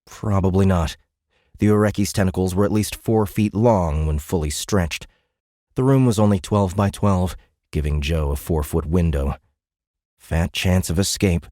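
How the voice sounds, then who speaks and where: A man's voice: sits low, measured pace, dark tone, no echo, clean studio sound. A man reading scripted, dramatic science fiction narration as an audiobook narrator.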